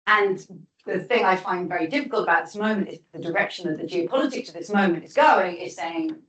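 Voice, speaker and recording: Woman lecturer, thinks out loud, restarts a lot, echoey hall, mic kinda far. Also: fairly low pitch, fairly quick. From United Kingdom. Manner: thoughtful, restarts often, reframes questions. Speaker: guest lecturer. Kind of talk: lecture answering audience questions.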